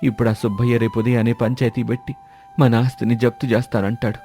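A man's voice: mid-range voice, level tone, fast, mellow, faint music behind, close to an everyday voice. A man playing a naive village farmer and husband in a moral story, gloomy and resigned as he laments. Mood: regretful. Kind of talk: dramatized Telugu moral story.

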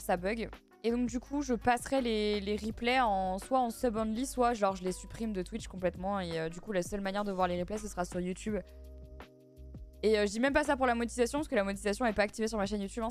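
A young woman from France chats easily with her stream audience and picks up their messages as she goes. Her voice sits at a middle pitch, close on a studio mic in a small dim room. Quiet music hums underneath. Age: young adult. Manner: chatty, reading and answering chat messages. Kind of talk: casual chat with live viewers. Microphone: studio mic on boom arm, headset on.

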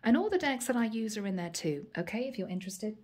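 A woman reading tarot cards at home and talking casually to her viewers, her voice a little hoarse from a long day. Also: mid-range voice, very animated, fast talker, a little hiss or hum. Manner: chatty, warm address to viewers, frequent "guys" and "okay". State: slightly hoarse, losing her voice. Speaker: tarot reader. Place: indoor home room, table with plants.

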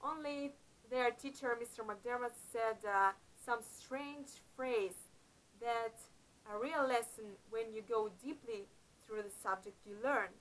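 A middle-aged woman narrating a Bible-themed adventure story to camera in a small room, earnest and a little halting. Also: fairly high pitch, slight background noise, rough recording. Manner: earnest, slightly halting phrasing with uneven emphasis. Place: small indoor room before a painted backdrop. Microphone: camera close up in front of her.

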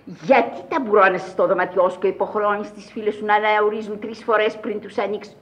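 A woman's voice: mid-range voice, steady tone, close to an everyday voice. Woman acting an imperious, eccentric old countess. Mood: cross-examining, triumphant. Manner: commanding, declamatory, scolding. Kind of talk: theatrical radio drama acting. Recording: dull old radio recording.

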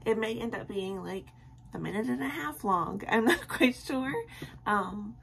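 A young woman with a tired, slightly scratchy voice talks casually to her viewers at bedtime, filling pauses with ums. She sits in a small bedroom close to the camera. A faint hiss of room noise sits under her voice. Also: a little high, lively intonation, vocal fry. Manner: rambling and friendly, with many ums and soft laughs. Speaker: vlogger. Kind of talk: casual late-night chat to camera.

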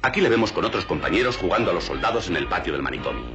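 An actor playing a solemn, mock-grave documentary narrator in a film comedy. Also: male voice, low-pitched, big pitch swings, racing, muffled recording, music in the background.

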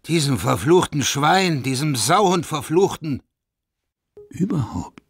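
Man acting a creaky-voiced, vengeful madman. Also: on the high side, animated, takes it slowly, no room sound, clean studio sound, full character voice. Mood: furious, cursing. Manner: volatile, swinging from breathy whispers to loud cursing. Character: vengeful, paranoid madman just released from an asylum. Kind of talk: radio-play monologue.

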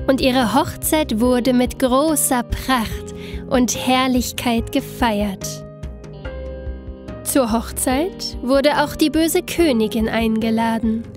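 High-voiced, unhurried woman storyteller. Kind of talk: scripted fairy tale narration. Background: soft instrumental music.

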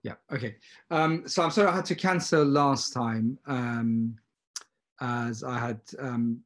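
A man, an eye surgeon, giving a relaxed webinar lecture over a computer microphone, with plenty of ums. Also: medium pitch, unhurried. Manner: relaxed, self-deprecating, frequent ums. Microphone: computer mic on a video call.

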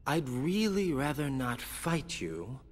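An actor playing a sly, mocking young assassin in a video game, with theatrical, drawling sarcasm and playful menace. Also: male voice, medium pitch, very animated, slow pace. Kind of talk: video game cutscene acting. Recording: long cavernous reverb, game music behind. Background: game soundtrack music.

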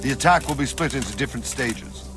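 An actor playing a grizzled older soldier in a video game, blunt, fatherly and resolute, with a measured, commanding delivery. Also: medium pitch, natural voice. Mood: resolute, instructing. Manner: measured, plain-spoken, commanding. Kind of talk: dramatic video game cutscene acting. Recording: music behind the voice.

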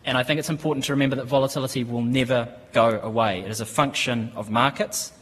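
A man in his thirties with a New Zealand accent, speaking at a lectern microphone in a conference hall. His pitch sits in an ordinary middle range, and he talks in a measured, businesslike way, pausing often with ums. The long reverb of the hall trails behind his words. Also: husky. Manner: measured, steady, with frequent ums and restarts. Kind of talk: business presentation.

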